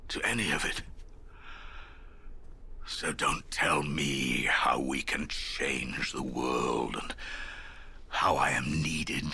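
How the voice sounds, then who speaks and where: An ancient, world-weary old man for a game cutscene. His voice is very husky and cracked with gravel, yet cuts through forcefully. He grumbles bitterly and broods dramatically, dragging out his words. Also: very deep voice, heavily acted. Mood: hopeless, dismissive. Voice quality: raspy, gravelly, breathy. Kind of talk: video game cutscene voice acting.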